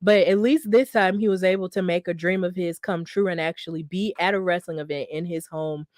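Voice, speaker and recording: A woman's voice: fairly low pitch, fairly even intonation, fairly quick, a little mellow, dry recording, studio quality. A woman hosting a solo wrestling news podcast, talking in a chatty, upbeat way with lots of fillers and self-corrections. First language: English. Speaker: podcast host.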